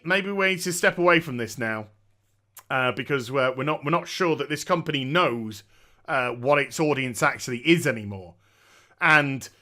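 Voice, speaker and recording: A man with a fairly high-pitched voice and a bright, forceful edge. He talks like an opinionated gaming commentator speaking off the cuff, blunt and emphatic, repeating key words for stress. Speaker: gaming news commentator and streamer.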